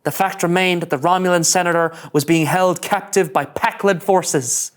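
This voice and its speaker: A man's voice: high-pitched, fairly even intonation, moderate pace, lightly acted. A man playing an anxious, earnest young starship ensign in a sci-fi comedy, nervous and overdramatic. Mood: brooding narration. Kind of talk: animated sci-fi comedy table read.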